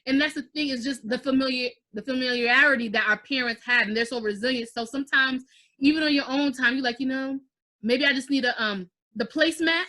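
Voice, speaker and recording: Bright, high-voiced young woman hosting. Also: a little fast. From United States. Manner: animated, gestures while talking, mixes in Haitian Creole. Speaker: host. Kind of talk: casual video-call interview. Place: living room, indoors. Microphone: video call webcam.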